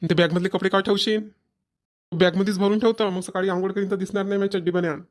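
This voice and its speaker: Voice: medium pitch, flat delivery, fast, close to an everyday voice. A performer voicing a caring, mildly nagging village man in a family cartoon, with a rural Maharashtrian accent. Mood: casual, grumbling. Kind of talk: Marathi family cartoon voice acting.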